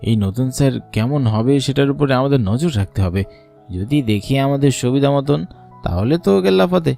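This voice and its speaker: Man voicing a creaky-voiced, scheming village schoolboy. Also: mid-range voice, expressive, brisk, warm tone. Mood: calm, scheming. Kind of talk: dubbed horror cartoon dialogue. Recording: music under the voice.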